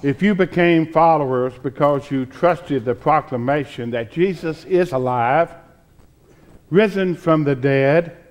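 A pastor in his sixties reading scripture from a church pulpit in a deliberate, solemn way with pauses. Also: a little high, a little mellow. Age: 60s.

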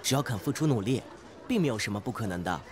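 An actor playing an earnest, oblivious teenage class monitor who talks in a preachy, naive way in an audio drama. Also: male voice, mid-range voice, expressive, quick pace, natural voice. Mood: innocent, kindly. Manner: preachy, matter-of-fact, naive. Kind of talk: modern audio drama acting.

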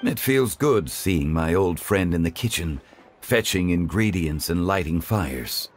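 A man voicing a calm, dignified male scholar in a game, speaking in a measured, formal way. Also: deep voice, soft background music. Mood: composed.

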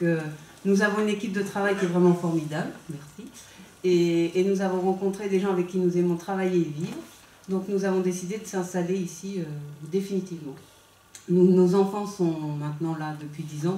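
A woman's voice: fairly low pitch, warm tone, distant mic, slight background noise. Woman with a handheld mic in an echoey room. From Vendée, France. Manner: chatty, with many hesitations. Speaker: substitute candidate at a public meeting. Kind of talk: introducing herself at a campaign meeting. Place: small meeting room, indoors. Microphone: handheld mic, filmed by a far camera. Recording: echoey room heard from afar.

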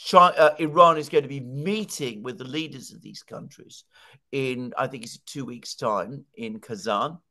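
A man in his sixties speaks as a political commentator in a conversational way. He explains things emphatically and sets up his points with rhetorical questions, at a middle pitch. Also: moderate pace, pushed and bright. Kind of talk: conversational news analysis. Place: home study with bookshelves. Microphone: headset on video call.

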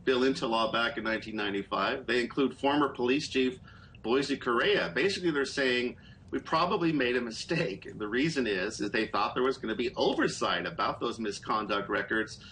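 A middle-aged man, a political reporter, explaining stories in a measured way during a live news interview over a video call. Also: medium pitch, fairly bright tone, a little hiss or hum, rough recording. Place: small room, indoors. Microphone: video call webcam.

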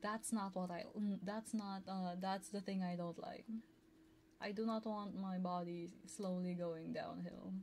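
Female ASMR streamer, leisurely and soft-spoken, playful chat with viewers, close-miked with clear noise behind her. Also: on the low side, steady tone, measured pace. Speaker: ASMR livestreamer. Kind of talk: casual ASMR livestream chat, reading and answering viewers. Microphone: close binaural ear microphone.

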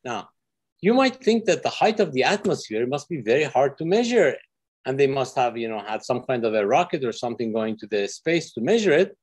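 A man's voice: medium pitch, big pitch swings, a little fast, slightly rough. Man from Iran giving an online physics lecture. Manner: friendly, explanatory, with frequent fillers. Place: office with windows. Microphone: video call.

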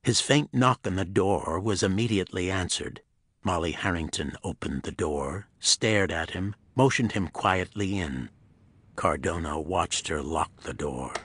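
A man giving scripted narration of a pulp thriller audiobook in a husky voice. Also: deep voice, measured pace, fairly bright tone, no echo, clean studio sound.

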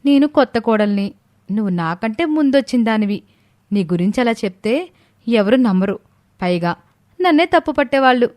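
A woman's voice: a little high, lively intonation, moderate pace, pauses often, a little mellow, pitched above the performer's own voice, natural voice. A woman voicing a sly younger sister-in-law in a family story who plays sweet and innocent, with a pointed, plaintive manner. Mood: smug.

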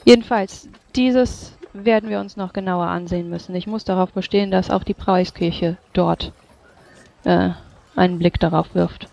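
Creaky-voiced tabletop roleplayer, formal and measured. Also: medium pitch, fairly even intonation, darkish tone, rough recording. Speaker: player or game master voicing characters in a tabletop game. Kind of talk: formal in-character roleplay and narration.

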